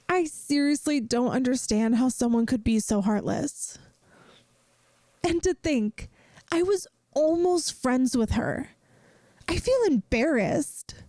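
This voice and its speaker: Caring young woman played by an actress, best friend secretly in love; slow, close and dry, soothing ASMR roleplay delivery. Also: high-pitched, dry recording, heavily acted. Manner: gentle, soothing, playful, earnest. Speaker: audio roleplay performer. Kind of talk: soft intimate ASMR roleplay acting.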